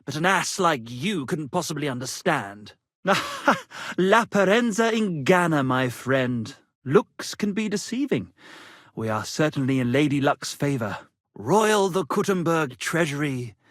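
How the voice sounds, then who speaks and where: An actor playing a vain, touchy, melodramatic medieval minstrel poet in a video game, with florid, declamatory delivery. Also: male voice, fairly high pitch, a bit bright, no echo, clean studio sound, full character voice. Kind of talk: video game character acting.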